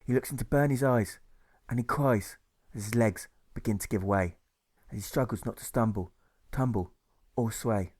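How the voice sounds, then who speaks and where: A man's voice: fairly low pitch, darkish tone, breathy edge. A man reading rhyming story narration as an author, with a rhythmic delivery that leans on lists and rhymes. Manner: rhythmic, list-heavy, drawn-out rhymes. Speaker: author reading own audiobook.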